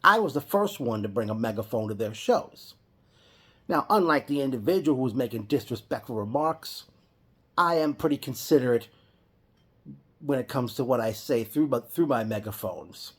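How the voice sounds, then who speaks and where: A man talks through his reaction at a middling pitch, in an unscripted vlog style. He often stops to correct and restart his sentences. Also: animated, normal speed, fairly bright tone, husky. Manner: rambling, with frequent restarts and self-corrections. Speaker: wrestling fan vlogger. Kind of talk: casual spoken reaction vlog.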